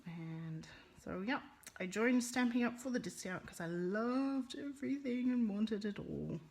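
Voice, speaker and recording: A woman's voice: fairly high pitch, expressive, a little slow, creaky, darkish tone, slightly rough. A woman with a Russian accent teaching a live card-making lesson, chatting with viewers by name and rolling her R's. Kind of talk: live-streamed craft lesson.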